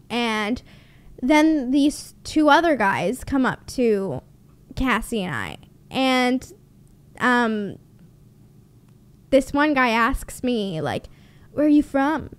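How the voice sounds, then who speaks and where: A young woman with a high voice talks close into a studio microphone in a small room at home. She tells a story as a podcast host, casual and blunt, with dry sarcasm and some swearing. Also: big pitch swings, slow pace, pauses often. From Canada. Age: young adult. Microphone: studio mic on boom arm, close.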